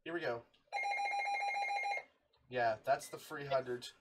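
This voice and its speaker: A man's voice: mid-range voice, steady tone, slow pace, background noise. A man hosting a casual hobby show-and-tell, chatting informally with friends. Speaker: video host showing a radio collection.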